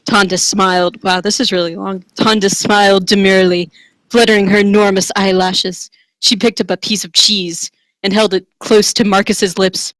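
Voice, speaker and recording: A woman's voice: medium pitch, level tone, moderate pace, fairly bright tone, no room sound, rough recording. A woman giving a theatrical, tongue-in-cheek dramatic reading of a fantasy romance. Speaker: reader.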